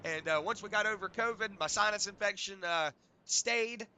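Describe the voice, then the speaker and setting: A high, very bright, tense male voice, a bit hoarse and raspy from lingering sinus trouble, calling laps in a loose, rambling play-by-play style full of "uh"s. There is a faint hiss of noise behind him. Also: moderate pace. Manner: relaxed, rambling play-by-play with fillers. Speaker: race commentator. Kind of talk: play-by-play sim racing commentary.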